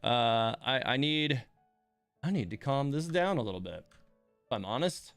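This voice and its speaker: A man's voice: mid-range voice, lively intonation, measured pace, fairly bright tone, no echo, faint music behind. A man hosting a game livestream in casual chat, muttering exasperated asides to himself and his viewers. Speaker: livestream host playing a gacha game.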